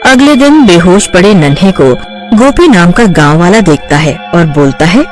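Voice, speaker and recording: A woman's voice: fairly low pitch, lively intonation, moderate pace, faint music behind. A woman reading scripted narration for a cartoon story.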